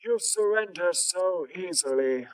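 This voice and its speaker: A man's voice: high voice, lively intonation, a little slow, recorded from a distance, low-quality recording. A man playing a cold, commanding living machine in a comic dub, making formal, grandiose declarations. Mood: imperious, calm.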